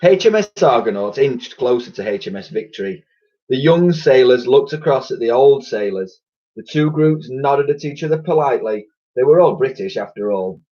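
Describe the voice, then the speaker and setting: A male primary school teacher reading a children's book aloud with animated storytelling. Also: medium pitch, moderate pace. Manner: lively, animated storytelling with sound effects. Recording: lo-fi recording.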